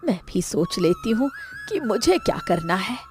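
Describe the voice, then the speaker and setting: A woman acts out an elderly village mother in a moral cartoon tale. She laments plaintively and anxiously, her pitch moving up and down very widely over a soft musical bed. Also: huge pitch swings, moderate pace, faint music behind. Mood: hurt, sorrowful. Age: older. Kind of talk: Hindi moral cartoon story dialogue.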